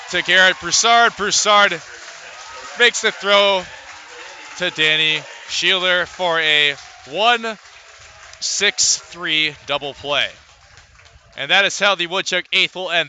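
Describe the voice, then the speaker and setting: A man doing live baseball play-by-play as a radio announcer, describing the action in a measured way with pauses between calls. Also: on the high side, bright, forceful tone, a little hiss or hum. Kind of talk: live sports commentary.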